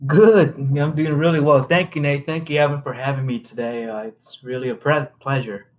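A man's voice: mid-range voice, steady tone, moderate pace, darkish tone. A young man from China with a Chinese accent, talking casually as an interview guest. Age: young adult. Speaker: podcast guest, company director.

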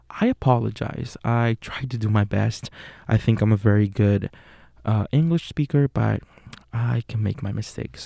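A young man with a Puerto Rican Spanish accent hosts a solo podcast. His low, mellow voice carries a gravelly fry, and it sounds close and dry. He speaks in an earnest, unhurried way and often pauses on fillers to correct himself. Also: no echo. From Puerto Rico. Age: young adult. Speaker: podcast host.

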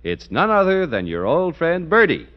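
A man announcing a radio show and reading a commercial in a brisk salesman's pitch, on a dull old radio recording. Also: wildly swooping pitch.

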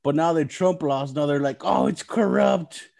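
A man's voice: on the high side, moderate pace, vocal fry, a little mellow. A man hosting a podcast, chatting casually in an agreeable, slangy way. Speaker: podcast host.

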